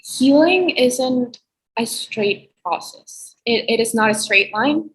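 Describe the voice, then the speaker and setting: A woman's voice: fairly high pitch, lively intonation, takes it slowly, poor audio. A young adult woman, a guest on a video-call interview, giving heartfelt, reflective advice about faith and healing. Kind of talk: earnest faith-based advice.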